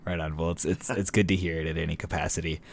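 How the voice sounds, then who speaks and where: A man hosting a casual card game podcast, chatty and relaxed, with fillers and restarts. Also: very deep voice, quick pace, creaky, slight background noise. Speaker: podcast host.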